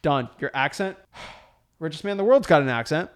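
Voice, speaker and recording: A young man coaching listeners on real estate wholesaling in an emphatic, energetic teaching talk, often checking in with "right?". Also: a little high, normal speed. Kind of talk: motivational teaching talk to camera.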